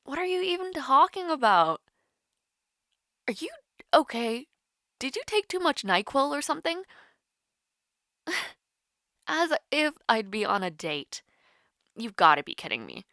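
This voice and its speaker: A woman's voice: high-pitched, very animated, a little slow, long pauses, dry recording, studio quality, exaggerated voice. A young woman playing a shy, caring college student with a crush in an intimate audio roleplay, hesitant and easily flustered. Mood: flustered, teasing. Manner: hesitant, flustered, soft-hearted, giggly. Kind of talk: intimate romantic audio roleplay, one-sided call.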